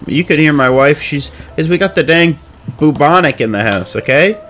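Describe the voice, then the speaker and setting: A man's voice: a little high, animated, normal speed, fairly bright tone, slight background noise. A young man co-hosting a podcast while stuffed up with a cold, telling stories in a self-mocking, casual way. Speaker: podcast co-host.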